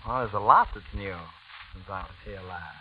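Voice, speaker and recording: A man's voice: fairly low pitch, normal speed, lightly acted. An American man playing a sly, menacing former prosecutor with a smug, insinuating manner in a 1940s radio drama. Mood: smug needling. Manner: smug, insinuating, drawling little 'huh' tags. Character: sly, menacing former prosecutor blackmailing his brother's wife. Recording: tinny, hissy 1940s radio recording.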